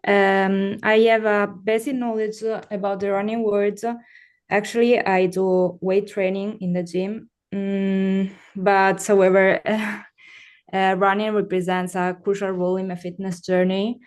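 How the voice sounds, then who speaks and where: A woman's voice: mid-range voice, little pitch movement. A woman from Italy, introducing herself with many hesitations. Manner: slow, hesitant. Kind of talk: self-introduction on a video call.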